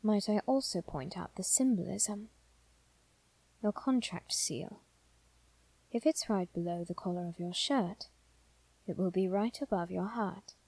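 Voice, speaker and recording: Woman as a soft, deliberate demon triplet, dry sound. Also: medium pitch, slightly rough, no room sound, rough recording. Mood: calm, solemn. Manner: soft, slow, deliberate, dipping toward whisper. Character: calm, probing male demon triplet, quietly intense. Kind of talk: scripted ASMR roleplay acting.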